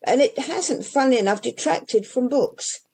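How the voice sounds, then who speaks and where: A woman's voice: sits high, expressive, moderate pace, mellow, slight background noise. An older English woman who runs a bookshop, chatting in an interview and rambling through restarts and asides. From England. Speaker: independent bookshop owner. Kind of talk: casual interview chat.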